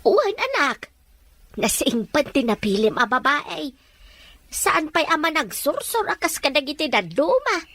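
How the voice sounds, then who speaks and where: A woman's voice: sits high, big pitch swings, measured pace, a bit bright, dry recording, exaggerated voice. A woman playing a caring, outspoken older mother in a radio drama. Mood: affectionate.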